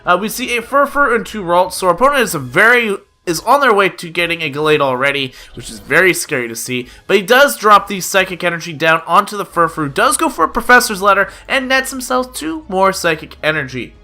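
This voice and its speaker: Bright high-voiced man, slightly nasal. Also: lively intonation, brisk, few pauses. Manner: chatty play-by-play with frequent "uh" fillers. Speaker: gaming YouTuber. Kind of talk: casual card game commentary. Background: background music.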